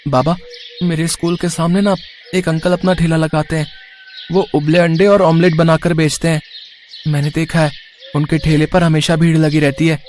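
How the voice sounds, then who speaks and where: A man's voice: a little high, little pitch movement, moderate pace, a little mellow, a little hiss or hum, natural voice. A man voicing an eager, earnest young boy pleading with his parents in a cartoon moral story.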